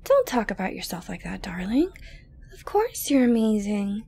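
A woman playing an obsessive, sweet-talking yandere girlfriend in an intimate ASMR audio roleplay, coaxing softly with breaths and near-whispers. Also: mid-range voice, big pitch swings, moderate pace, a little hiss or hum. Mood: adoring. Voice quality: soft, breathy, often dropping to a whisper. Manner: coaxing, cooing, falsely gentle, hesitant.

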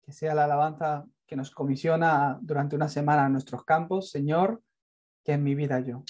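A man's voice: on the high side, steady tone, normal speed, darkish tone. A young man with a Castilian Spanish accent giving an earnest, fervent sermon and closing prayer, speaking to a camera indoors. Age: young adult. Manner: earnest, fervent, builds to emphatic peaks. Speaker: preacher. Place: indoors, in front of a plain light wall.

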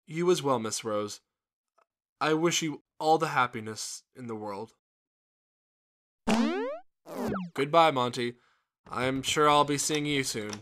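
A man's voice: medium pitch, measured pace, a bit bright, lightly acted. A man voicing a suave, scheming immortal god in a cartoon, with a polite salesman's delivery. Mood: smooth, smug. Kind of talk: animated web series dialogue.

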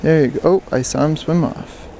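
A man giving excited, casual fishing commentary, repeating words in bursts, speaking outdoors into a body-worn action camera. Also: fairly high pitch, moderate pace, vocal fry, poor audio. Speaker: fishing vlogger. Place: outdoors beside a stream. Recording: outdoor water noise behind voice.

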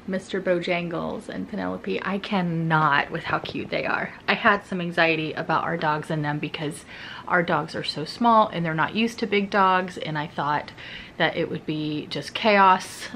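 A woman's voice: fairly low pitch, fairly even intonation, measured pace, fairly bright tone, a little hiss or hum. Chatty giggly woman vlogging to camera. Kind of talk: casual vlog chat to camera. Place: bedroom in a house. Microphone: handheld camera at arm's length.

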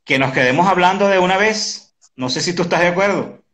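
Halting man in his fifties. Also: sits high, a bit bright. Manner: halting, repeats words. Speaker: interview host. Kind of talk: casual conversational online interview. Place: indoor room at home. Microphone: video call, device close in front.

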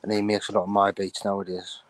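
Flat-voiced young man from the UK. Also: lowish voice, fast talker, no room sound, rough recording. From United Kingdom. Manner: flat, mumbled, lots of "you know what I'm saying". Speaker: rapper guest. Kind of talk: casual interview answers.

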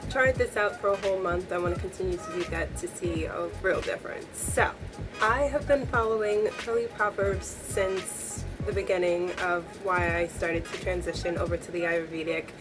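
A young woman talks straight to her camera in a small bedroom, her voice a little hoarse from a cold. She sounds chatty and upbeat, with a somewhat low pitch for a woman. Background music runs clearly under her voice. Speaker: beauty vlogger. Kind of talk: casual talk to camera.

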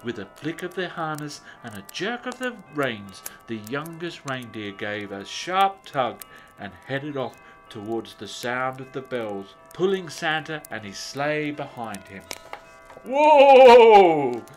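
A man reading a children's story as narrator, in a measured, expressive way. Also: medium pitch, faint music behind.

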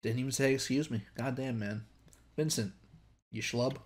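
A man's voice: mid-range voice, normal speed, a little mellow. A man with an American accent giving casual game commentary in a slangy, sweary, joking way. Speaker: let's play commentator.

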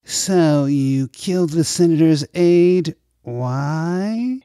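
A man's voice: on the high side, expressive, drawn-out words, mellow, clean tone, no room sound, clean studio sound, voice pushed up. A man voicing a leering, sarcastic, sceptical agency director in an audiobook, with a mocking drawl. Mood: mocking scepticism. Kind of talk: audiobook character voice.

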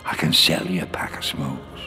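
A man playing a furtive prison informant in a video game, peddling tips in a gravelly, half-whispered, sly voice. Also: extremely low pitch, normal speed, music in the background.

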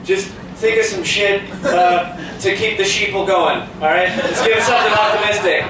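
A man's voice: high voice, steady tone, normal speed, pushed and bright. A man in his twenties doing live stand-up comedy at an open mic, ranting in a profane, incredulous way. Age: 20s. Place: small cafe comedy night, indoors. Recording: distant mic, echoey noisy live room.

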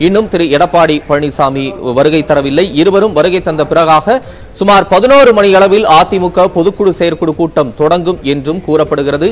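A man with a fairly high voice narrates live breaking news as an anchor. He keeps a steady, formal delivery as he talks over footage from the scene.

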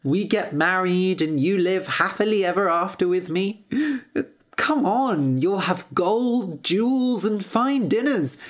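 A woman's voice: medium pitch, expressive, takes it slowly, pitched above the performer's own voice. A woman voicing a timid, sensitive young prince in a storybook tale, with a hesitant and emotional manner. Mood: eager, coaxing.

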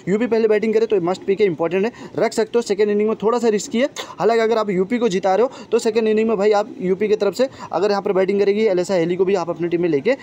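A young man speaks extremely fast in a high, mellow voice. He chats informally to viewers as a fantasy sports tipster, addressing them like brothers. There is clear noise behind his voice-over. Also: steady tone. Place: voice-over on a phone screen recording.

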